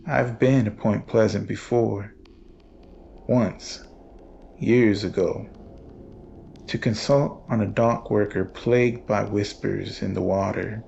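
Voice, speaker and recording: A man playing a brooding, world-weary paranormal investigator in somber, measured first-person horror narration. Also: on the low side, steady tone, creaky, a little mellow. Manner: somber, measured, literary storytelling. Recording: dull audio, faint noise.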